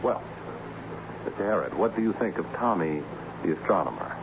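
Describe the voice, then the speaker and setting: An actor playing a harried, ironic assistant principal in an old-time radio drama, theatrical and patiently explanatory. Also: male voice, deep voice, lively intonation, normal speed. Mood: enthused, explanatory. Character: harried, excitable male school assistant principal with ironic humour. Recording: dull, noisy old radio recording.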